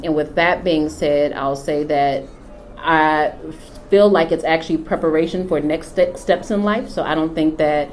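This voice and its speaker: A woman with a deep, low voice speaks slowly and thoughtfully, pausing often as she reflects on her work. She is a founder from the United States, and a faint hum sits under the recording. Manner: unhurried, thoughtful, many filler pauses. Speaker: company founder and CEO. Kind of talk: reflective personal testimony.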